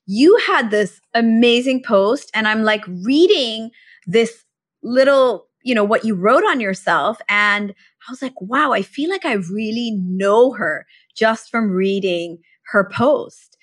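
A woman's voice: on the high side, animated, takes it slowly. A woman hosting a podcast, chatting enthusiastically and using plenty of casual fillers. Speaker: podcast host. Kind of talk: casual conversational interview.

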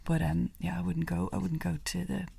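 A woman's voice: on the low side, level tone, a little fast, vocal fry, dark tone, breathy edge. A woman co-hosting a culture podcast, chatting casually, laughing often and restarting her sentences. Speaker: podcast co-host. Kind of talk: casual chat.

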